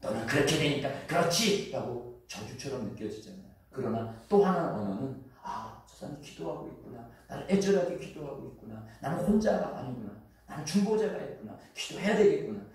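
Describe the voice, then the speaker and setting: Chungcheong pastor preaching in an echoey hall. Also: male voice, medium pitch, animated. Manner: earnest, conversational preaching with drawn-out sentence endings. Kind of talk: sermon. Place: church sanctuary. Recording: echoey church hall from far off.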